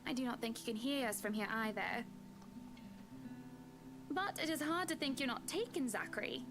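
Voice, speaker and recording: A woman's voice: on the high side, animated, normal speed. A woman playing a genteel, sweetly teasing young madam in a visual novel, with formal, old-fashioned phrasing. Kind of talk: visual novel character dialogue. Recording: guitar music over the voice.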